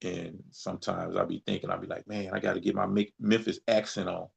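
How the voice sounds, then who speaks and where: Gravelly low-voiced Mississippi man. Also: sing-song, fairly quick, dull audio. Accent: Mississippi Southern. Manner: reflective, repeats phrases, laughs easily. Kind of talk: casual conversation.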